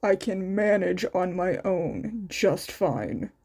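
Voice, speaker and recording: A woman's voice: lowish voice, animated, takes it slowly, warm tone, breathy edge, voice pushed down, full character voice. A woman voicing a stubborn, weary, grumpy man in a story, curt and grumbling.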